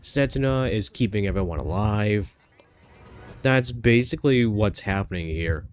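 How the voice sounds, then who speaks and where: A man's voice: fairly low pitch, lively intonation, normal speed, faint music behind. A man with a stuffy nose from a cold, chatting casually and thinking aloud while playing a game, with frequent fillers and restarts. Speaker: gaming streamer. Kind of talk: casual gameplay commentary.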